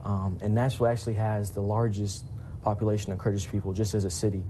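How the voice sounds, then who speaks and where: A man's voice: on the low side, little pitch movement, quick pace, mellow, a little hiss or hum. A man in his twenties from Iraq introducing himself in a casual, matter-of-fact way. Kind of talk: unscripted self-introduction.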